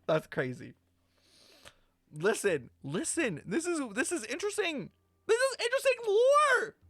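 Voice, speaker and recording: A brash, sweary livestreamer chatting casually and rambling through an explanation, playfully scolding viewers with theatrical emphasis. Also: mid-range voice. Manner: animated, theatrical emphasis with drawn-out words. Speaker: streamer reacting to a video game story. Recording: roomy echo, faint music behind.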